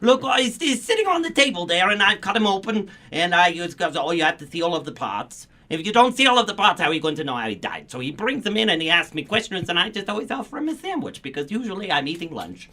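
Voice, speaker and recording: A man voicing an eccentric German coroner from a 1940s radio parody, rambling excitably and matter-of-factly about his work. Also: high voice, quick pace, few pauses, pitched above the performer's own voice, heavily acted. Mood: excitable, morbid. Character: eccentric yelly German male coroner, casually morbid parody.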